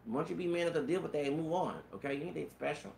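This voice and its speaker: A man's voice: fairly high pitch, racing, vocal fry, darkish tone. A man in his thirties with a feminine-sounding voice, telling a rambling personal story with stammered restarts and cursing. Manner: repetitive stammered restarts, profanity, frequent "you know what I'm saying". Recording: roomy echo, faint background noise.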